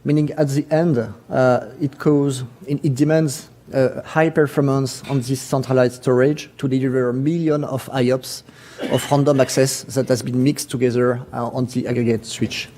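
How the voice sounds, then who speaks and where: A man's voice: mid-range voice, little pitch movement, dark tone, slight background noise. French man giving a tech talk. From France. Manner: halting, many fillers and restarts. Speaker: conference speaker. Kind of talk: technical conference presentation.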